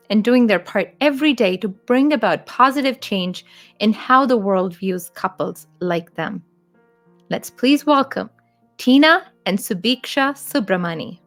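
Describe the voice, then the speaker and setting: A woman host delivering a scripted introduction in an earnest, measured way. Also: medium pitch, animated, faint music behind. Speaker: show host.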